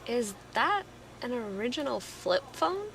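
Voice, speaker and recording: A woman's voice: a little high, sing-song, a little slow, vocal fry, fairly bright tone, slight background noise, heavily acted, poor audio. A woman playing a friendly, inquisitive amateur investigator in natural audio drama dialogue. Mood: curious, friendly. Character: Cam, friendly, inquisitive female fellow investigator.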